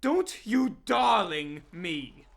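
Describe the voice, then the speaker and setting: A man playing a jealous, wounded vampire in a dark comedy audio drama, prim and theatrically indignant. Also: high-pitched, big pitch swings, drawn-out words, vocal fry, heavily acted. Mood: sulky, cold. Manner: indignant, pouting, melodramatic.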